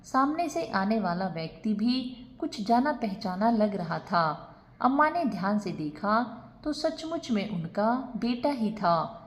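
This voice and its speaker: A woman's voice: mid-range voice, sing-song, slow pace. A woman narrating a scripted moral family story as a storyteller.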